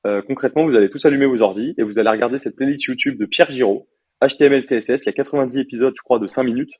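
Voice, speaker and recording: A young man in his twenties from France, a software developer chatting casually in an interview with lots of fillers and slang. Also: mid-range voice, racing, breathy edge, no echo, lo-fi sound. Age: 20s.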